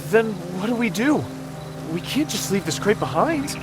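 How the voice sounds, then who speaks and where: A man's voice: sits high, sing-song, normal speed, over loud music. An actor playing an earnest, naive young man newly joined to a martial sect, in a video game cutscene. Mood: uneasy.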